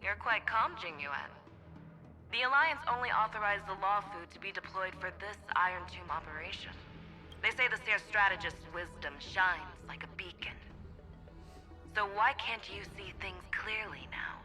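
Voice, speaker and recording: A proud, wary woman in military command for a video game. Her voice sits at middle pitch and is extremely sharp and forceful, with cutting, sardonic, formal delivery over faint music. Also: normal speed, rough recording. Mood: sardonic, challenging. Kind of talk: scripted video game drama.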